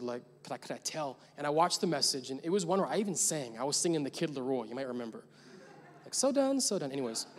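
A young adult man preaching a sermon as pastor, telling a story casually and building to emphatic points. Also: on the high side. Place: large church hall. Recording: long church hall reverb.

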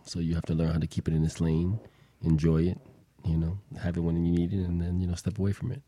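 A man's voice: sits low, level tone, fast talker, warm tone, no room sound. A man guest in a casual chat, laid-back and easygoing. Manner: laid-back, laughing easily.

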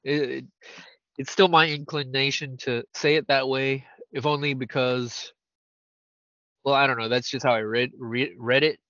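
A man's voice: medium pitch, steady tone, moderate pace, sharp tone, no echo. Male podcast host, casual rambler who laughs easily, dry close sound, slightly dull audio. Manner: rambling, laughs often, teasing.

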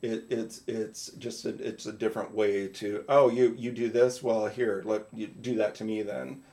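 A man's voice: fairly low pitch, steady tone, moderate pace, dark tone. A middle-aged man from North Dakota, co-hosting a podcast in casual chat, rambling through stories, swearing and breaking off mid-sentence. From North Dakota, USA. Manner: rambling storyteller, swears, self-interrupting. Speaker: podcast co-host. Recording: roomy echo.

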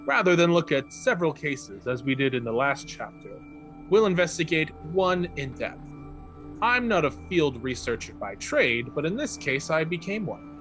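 A man reading a written account aloud as a narrator, measured and formal, with carefully enunciated phrases. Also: fairly high pitch, animated, fairly bright tone, soft background music.

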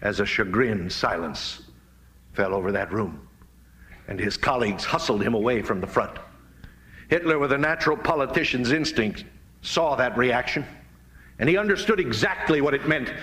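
An American man preaching in a dramatic, deliberate oratorical style, with emphatic swells, long pauses and hushed phrase endings. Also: a little high. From United States. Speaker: pastor, guest speaker. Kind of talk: fiery sermon-like political speech to a live audience.

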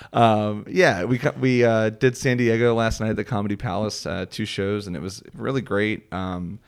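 Philly guy in his 30s, kinda low voice, easygoing, lots of um and you know, stops and restarts. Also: clear, resonant tone. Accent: Philadelphia. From Philadelphia area. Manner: relaxed, halting, many fillers and restarts. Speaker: comedian guest. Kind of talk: casual interview chat.

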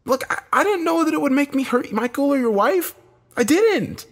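A desperate, embittered man from an audio thriller, acted out by a male narrator. He sounds agitated and urgent, swearing freely. The voice is raised high, swings widely and has a husky edge. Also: lively intonation, normal speed. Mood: pleading, urgent. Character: Josh, desperate bitter man, cornered game loser.

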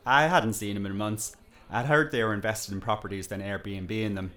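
A man acts out a village barkeep for an audio drama. His voice sits on the low side, and he talks in a folksy, wry way. He is chatty and politely nosy, always ready to tease. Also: fairly even intonation, normal speed, pushed and bright. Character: chatty, wry village pub landlord with a teasing streak.

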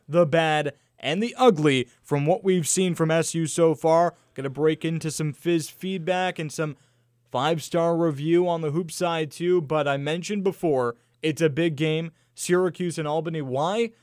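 A man co-hosting a sports radio show with a fairly high voice, loud and joking, full of energy. The studio sound is dry and close. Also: no echo. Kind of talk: casual sports talk radio.

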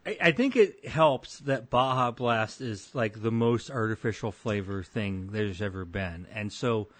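A man's voice: on the low side, unhurried. Dry, teasing podcast host man. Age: 30s. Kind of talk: casual chat.

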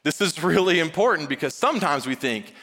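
A man preaching a conversational sermon in an informal, joking way, with emphatic pauses and acted-out dialogue. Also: a little high, very animated, sharp tone. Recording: reverberant hall sound.

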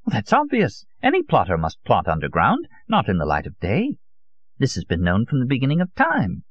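Man putting on an excitable, high-pitched elderly conspiracy theorist. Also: moderate pace, no room sound. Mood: insistent. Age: older. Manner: emphatic, rhetorical, rambling monologue. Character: elderly Russian male conspiracy theorist, fervent, nostalgic taxidermist. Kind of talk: dramatised audiobook character. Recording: dull, muffled lo-fi audio.

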